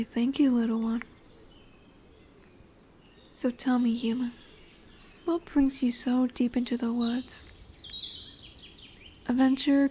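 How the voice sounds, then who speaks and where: A woman's voice: high voice, dark tone. A woman voicing a large lamia, a seductive serpent woman who is commanding yet soothing, in a formal, hypnotic, coaxing manner for a roleplay audio drama. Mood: intrigued, teasing. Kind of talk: roleplay audio drama with soft whispered passages. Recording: noisy woodland ambience with birdsong. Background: birdsong and forest ambience.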